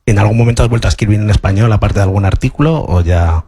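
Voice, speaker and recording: A man's voice: on the low side, fast talker, dark tone, slightly rough. A man with a Spanish accent from Spain asking the author a question from the audience, hesitant and full of fillers. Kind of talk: question from the floor. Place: auditorium.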